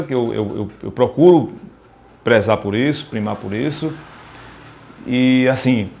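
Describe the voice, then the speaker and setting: A man with a Northeastern Brazilian accent reminiscing in an interview, haltingly, often repeating words as he searches for them. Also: mid-range voice. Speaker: radio presenter, interviewee. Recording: noticeable background noise, ambient sound.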